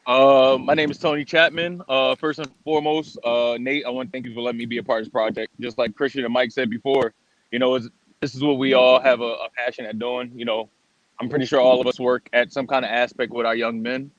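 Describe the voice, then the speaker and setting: A man's voice: medium pitch, flat delivery, brisk, no echo. A man in his early thirties, a guest mentor on a casual video call, easygoing and joking. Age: 30s. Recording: dull lo-fi call audio.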